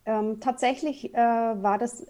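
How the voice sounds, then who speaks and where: A woman's voice: fairly high pitch, steady tone, slow, warm tone. A woman in her forties from Berlin, telling her story openly as a podcast guest, with many fillers. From Berlin, Germany. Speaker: guest, photographer. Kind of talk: casual interview.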